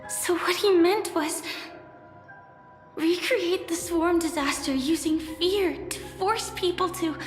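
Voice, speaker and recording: An actress playing a serious, thoughtful young woman in a fantasy video game, earnest and questioning in delivery. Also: very high voice. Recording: echoey hall reverb, music behind.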